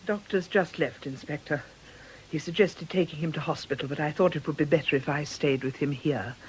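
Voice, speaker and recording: A woman's voice: low-pitched, brisk, a little mellow, slight background noise, natural voice, poor audio. A woman playing a composed, soothing but firm nursing sister in a radio drama. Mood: composed, efficient. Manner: composed, reassuring.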